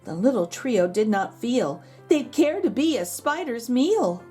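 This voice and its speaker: A woman narrates a picture book for children in a high, soft-toned mellow voice. She reads at a slow, measured pace with expressive, rhythmic phrasing. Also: faint music behind. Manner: measured rhyming delivery with gentle asides to children. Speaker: children's read-aloud storyteller.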